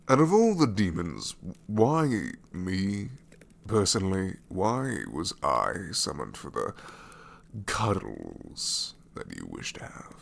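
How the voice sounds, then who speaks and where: A man playing a sassy gravelly shadow demon. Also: deep voice, heavily acted. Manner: sarcastic, incredulous, grumbling. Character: sassy exasperated male shadow demon, ancient. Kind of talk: ASMR roleplay audio.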